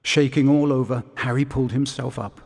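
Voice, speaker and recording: A man narrating a video game story from a script in a measured, dramatic storytelling manner. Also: mid-range voice, fairly even intonation, creaky, a little mellow. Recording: long reverb.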